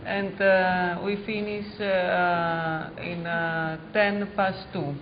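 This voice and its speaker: A Greek-accented male teacher with a notably high voice answers questions in measured English, with frequent fillers. He is recorded in a reverberant hall with dull, lo-fi sound. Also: level tone, a little hiss or hum. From Greece. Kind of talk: interview answers in non-native English.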